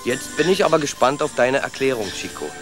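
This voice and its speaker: An actor playing a plucky, loyal rat who leads and narrates in a children's radio play. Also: male voice, medium pitch, expressive, fairly quick, music in the background, natural voice. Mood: suspicious. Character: bossy, plucky male young rat, loyal older brother.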